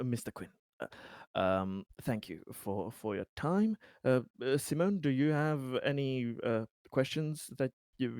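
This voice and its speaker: A man playing a polite, fumbling, flattering survey official in a tabletop roleplaying game, speaking in a halting, very courteous way. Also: medium pitch, no echo, clean studio sound, natural voice. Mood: grateful, fumbling. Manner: halting, hesitant, overly courteous.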